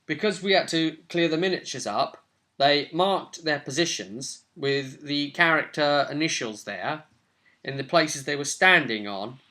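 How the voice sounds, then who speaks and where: A young man with a slightly high, bright voice talks casually as host. He chats about a tabletop game session as its game master, speaking into a webcam in a small home room. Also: lively intonation, measured pace. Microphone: webcam at arm's length.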